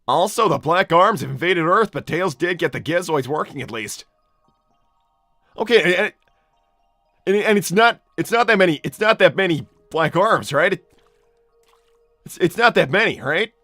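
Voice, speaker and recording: A man's voice: high-pitched, animated, moderate pace, long pauses, pushed and bright, no room sound, studio quality, soft background music, full character voice. A man voicing an anxious, exasperated young cartoon hedgehog hero in an improvised livestream, stammering and repeating himself as he talks. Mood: anxious, disbelieving. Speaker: live improv role-play host. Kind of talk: improvised in-character livestream, reading viewer messages.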